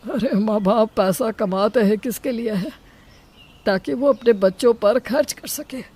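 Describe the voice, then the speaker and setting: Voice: medium pitch, unhurried, background noise, close to an everyday voice. A middle-aged father in a storybook family drama, put on by a voice actor. He is reassuring and indulgent, placating and advising his child. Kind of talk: dramatised Hindi story acting.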